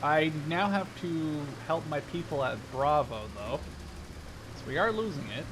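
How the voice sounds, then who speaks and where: A man in his twenties with a rather high-pitched voice commentates a game in a casual, informal way. He rambles and drifts into storytelling asides. Vehicle and game noise runs faintly behind his voice. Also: animated, unhurried. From Netherlands. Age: 20s. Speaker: gaming video host.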